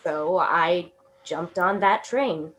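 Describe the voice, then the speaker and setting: A woman's voice: lowish voice, fairly bright tone, lo-fi sound. A young actress playing a tipsy, giggly woman waiting for a late-night train in a radio drama. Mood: flustered. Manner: giggly, drawn-out tipsy delivery.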